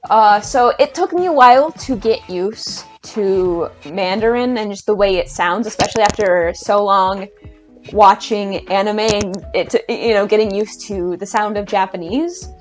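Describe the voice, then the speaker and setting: A woman's voice: medium pitch, unhurried, soft background music. A woman giving a casual, enthusiastic video-essay rant as a YouTuber, chatty and hesitant, often restarting her sentences.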